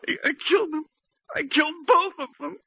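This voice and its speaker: A man's voice: very high voice, a little fast, gravelly, bright, forceful tone, no echo, full character voice. A man playing a panicked killer in a 1940s radio drama, distraught and stammering as he repeats his confession. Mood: frantic, broken. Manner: stammering, repeating himself frantically. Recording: muffled old radio recording.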